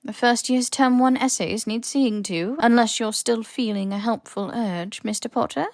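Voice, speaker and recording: Woman acting a stern, high-pitched older headmistress. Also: normal speed, no room sound, studio quality, lightly acted. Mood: dry, reproving. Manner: crisp, formal, old-fashioned phrasing. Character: stern, shrewd older female school headmistress, dryly exasperated. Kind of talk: audiobook character voice.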